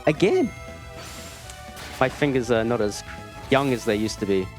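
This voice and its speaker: A man's voice: medium pitch, sing-song, unhurried. Man commentating a game, music behind. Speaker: speedrunner playing and commentating. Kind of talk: live gameplay commentary, casual. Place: gaming marathon event, streamed. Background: video game music.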